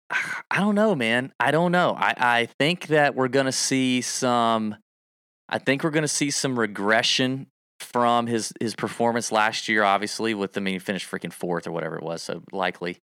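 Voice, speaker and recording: A man co-hosting a casual golf betting livestream, talking in an animated, emphatic way and piling on stats. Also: medium pitch, normal speed, smooth, no echo, clean studio sound.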